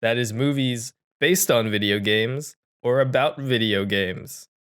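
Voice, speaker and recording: A man's voice: medium pitch, measured pace, a bit bright, smooth. Casual male podcast host. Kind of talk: casual unscripted podcast chat.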